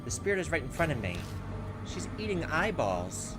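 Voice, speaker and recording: A man's voice: on the high side, very animated, moderate pace. A man giving casual, chatty commentary on a video game, reading its text aloud and talking back to it. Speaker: gaming video host. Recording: music behind the voice. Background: video game music.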